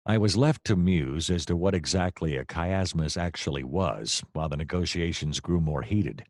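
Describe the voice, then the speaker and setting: Deep, wry noir private eye. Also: male voice, normal speed, no echo, clean studio sound. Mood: wry, deadpan. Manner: deadpan, wordy, wry. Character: wry, jokey noir private detective, a grown man. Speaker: narrator and lead character. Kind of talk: noir radio comedy narration.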